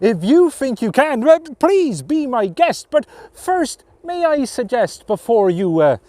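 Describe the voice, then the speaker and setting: A man playing a quick-witted, polite but nervous farmer in a storybook tale, courteous and theatrical. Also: very high voice, big pitch swings, darkish tone, no echo, pitched above the performer's own voice, heavily acted. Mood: nervous, wheedling. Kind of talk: storybook character voice.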